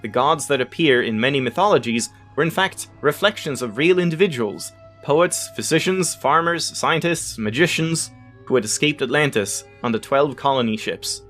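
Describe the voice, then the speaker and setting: A man's voice: medium pitch, animated, moderate pace, a bit bright. A man hosting a let's play, reading in-game text aloud with casual asides and tripping over unfamiliar words now and then. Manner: stumbles over unfamiliar words, self-correcting. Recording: music behind the voice. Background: game music.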